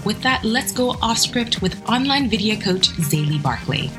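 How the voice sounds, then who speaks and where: A woman's voice: a little high, lively intonation, moderate pace, over music. A woman hosting a podcast, presenting and interviewing in a conversational style.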